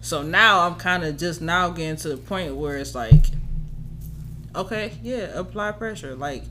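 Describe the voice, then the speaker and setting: A woman's voice: lowish voice, a little slow, over loud music. An American woman co-hosting a podcast, chatting casually in a blunt, informal, profane way. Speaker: podcast co-host. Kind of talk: casual chat.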